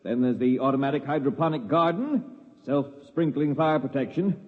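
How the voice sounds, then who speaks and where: An actor playing a chatty, eager real estate agent in a 1950s radio drama, with a breezy sales manner. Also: male voice, medium pitch, expressive, moderate pace, lightly acted. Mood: eager selling. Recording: muffled old radio, reverberant, faint noise.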